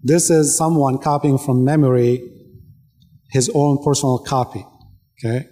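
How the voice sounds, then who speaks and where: A man's voice: mid-range voice, steady tone, unhurried, warm tone. A man whose first language is Arabic, debating live, rebutting firmly with short pointed questions. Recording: reverberant hall.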